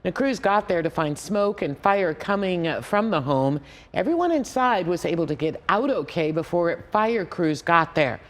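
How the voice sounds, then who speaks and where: A woman's voice: on the low side, lively intonation, moderate pace. A woman reading scripted TV news as an anchor.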